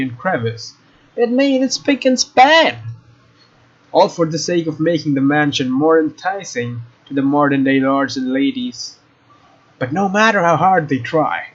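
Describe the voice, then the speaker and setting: A man's voice: on the high side, breathy edge. A young man doing a let's play, reading a visual novel aloud and adding casual commentary. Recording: dull lo-fi mic, faint noise.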